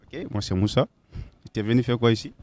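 A man's voice: medium pitch, quick pace, a little mellow. Young man asking passers-by questions. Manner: friendly, repeats answers back. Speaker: street interviewer. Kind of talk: casual street interview. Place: city streets outdoors. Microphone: handheld microphone.

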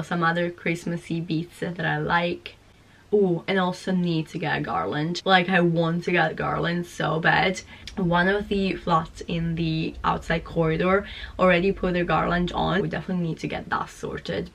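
Girl with a cold doing a vlog, kinda croaky and low, super gushy and chatty. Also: a bit bright. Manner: bubbly, gushing, chatty. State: hoarse from a cold. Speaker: vlogger. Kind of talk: casual vlog chat. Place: small flat, indoors.

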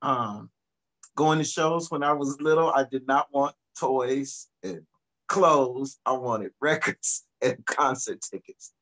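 Relaxed middle-aged man on a video call. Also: mid-range voice, slow, low-quality recording. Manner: relaxed, reflective storytelling. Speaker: guest singer. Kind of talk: casual video-call interview. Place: home studio, indoors.

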